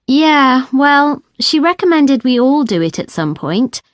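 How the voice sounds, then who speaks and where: Female student, scripted discussion, reflective, conversational, slightly high pitch, dry studio sound. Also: very animated, measured pace, no echo. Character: female design student chatting with a classmate. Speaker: actor in an exam listening recording.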